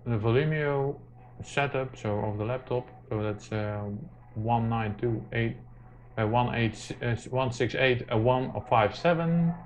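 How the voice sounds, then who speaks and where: A man presenting an informal hands-on tech tutorial, speaking haltingly with very frequent hesitations. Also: medium pitch, slight background noise. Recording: muffled lo-fi mic, music behind.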